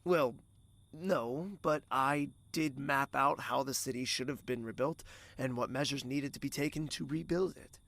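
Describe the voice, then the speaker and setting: A man playing a courteous, regal young prince in love in an audio drama, gentle and formal, with the odd French phrase. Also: mid-range voice, unhurried, vocal fry. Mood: reflective, teasing.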